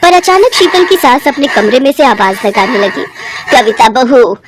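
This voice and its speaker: High-pitched woman storyteller. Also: sing-song, moderate pace, a crowd in the background. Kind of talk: scripted bedtime story narration.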